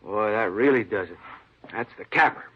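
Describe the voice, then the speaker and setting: An actor playing a fussy, officious store boss in an old radio drama, emphatic and prone to repeating himself. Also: male voice, fairly low pitch, animated, normal speed. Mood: disapproving, indignant. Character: fussy, disapproving male store boss, talkative with police. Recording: tinny, narrow-band old radio recording.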